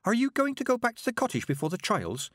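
A man's voice: sits high, huge pitch swings, fast talker, fairly bright tone, no echo, clean studio sound, pitched above the performer's own voice, full character voice. A man voicing a nervous, stammering young witch girl, full of little ums and flustered breaths.

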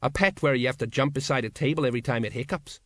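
A man's voice: mid-range voice, quick pace, fairly bright tone, no room sound, natural voice. A man voicing a stout, weary middle-aged sergeant who mumbles and hesitates. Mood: grumbling.

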